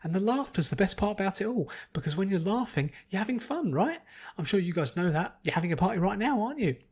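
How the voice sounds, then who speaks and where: A man voicing a bubbly, hyperactive young female cartoon pony, gushing and rambling excitedly. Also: high voice, fast, slightly rough, pitched above the performer's own voice, exaggerated voice. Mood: gushing, delighted. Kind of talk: fan fiction audiobook character voice.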